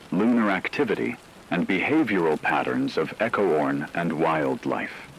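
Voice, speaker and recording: A man playing a grave radio announcer, reading a scripted disaster broadcast in a solemn, measured way. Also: deep voice, a bit bright, slight background noise, heavily acted, poor audio. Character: grave male radio announcer reporting on an apocalyptic aftermath. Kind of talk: scripted fictional emergency radio broadcast narration.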